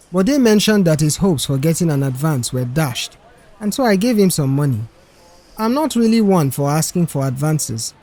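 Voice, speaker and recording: A man's voice: a little high, warm tone. A man voicing a kind-hearted, modest male garage worker in an audiobook, explaining himself earnestly. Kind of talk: audiobook character dialogue.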